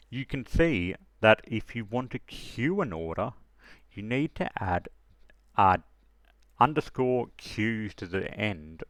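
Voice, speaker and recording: A man's voice: sits low, gravelly, fairly bright tone, dry recording. A man presenting a casual screen-recorded tutorial, thinking aloud step by step with some hesitation. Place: close dry voice-over of a screen recording.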